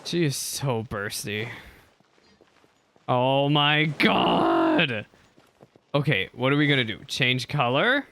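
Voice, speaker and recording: A young man commenting on his own gameplay for his viewers, excitable and quick to complain or cheer. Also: mid-range voice. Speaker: gaming content creator. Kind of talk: live game reaction commentary.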